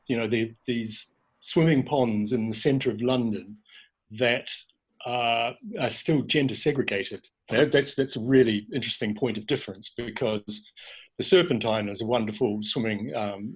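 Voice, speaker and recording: Husky-voiced New man, hesitant. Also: mid-range voice, low-quality recording. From New Zealand. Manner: hesitant, many ums, restarts. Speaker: documentary filmmaker guest. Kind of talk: casual interview over video call. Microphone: video call.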